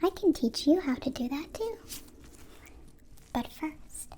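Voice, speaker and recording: A woman playing a gentle, naive alien friend in an ASMR roleplay, whispering breathily with careful, stilted formal phrasing. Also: high voice, lively intonation, takes it slowly, slight background noise. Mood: caring, helpful.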